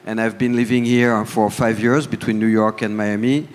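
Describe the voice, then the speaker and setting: A man from France with a French accent, speaking as a panel guest in a relaxed, joking way about his own life. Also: lowish voice, moderate pace. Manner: self-deprecating, joking. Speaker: panel guest, entrepreneur running for office. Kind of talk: casual panel talk. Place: conference hall. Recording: reverberant conference hall.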